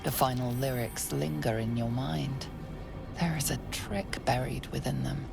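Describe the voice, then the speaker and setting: A performer narrating a video game in a measured, ominous, solemn way. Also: male voice, mid-range voice, steady tone, gravelly, music in the background. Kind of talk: scripted second-person game narration.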